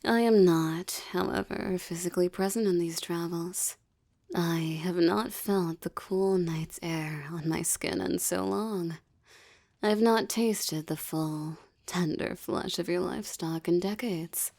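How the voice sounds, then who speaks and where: A woman voicing a seductive, menacing succubus in an intimate audio roleplay, with formal, ornate phrasing and a teasing, breathy delivery. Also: lowish voice, slow pace, darkish tone, no echo, heavily acted. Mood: yearning. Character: seductive, menacing adult female succubus demon, teasing and possessive. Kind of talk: intimate audio roleplay, ASMR-like monologue addressed to listener.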